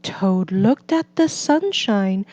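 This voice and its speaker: A woman reading a children's story aloud as scripted narration, in a measured, deliberate manner. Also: mid-range voice, expressive, warm tone, no echo, dull audio. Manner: measured, deliberate delivery for learners. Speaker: storybook reader.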